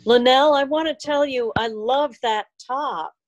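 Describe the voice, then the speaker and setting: High-pitched woman in dry, close sound. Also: unhurried, no room sound. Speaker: club meeting participant. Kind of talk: casual group chat. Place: video call.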